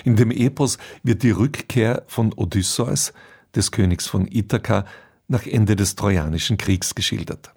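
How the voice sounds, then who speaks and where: A man presenting a scripted introduction to a radio drama as its host, with a husky voice. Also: fairly low pitch, a little mellow.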